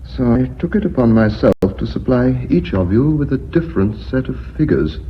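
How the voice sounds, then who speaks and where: An actor playing a measured, polite British company secretary in a radio drama, speaking formally and methodically. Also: male voice, mid-range voice, expressive, close to an everyday voice. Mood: calm, explanatory. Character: measured, deferential company secretary and bookkeeper revealing his scheme. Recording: muffled, noisy old radio recording.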